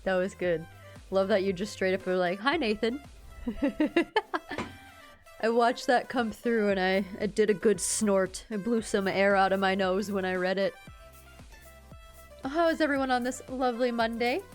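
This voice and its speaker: A woman's voice: mid-range voice, moderate pace, long pauses, soft background music. A woman in her twenties chatting casually with her live chat, rambling and laughing often. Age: 20s. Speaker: streamer.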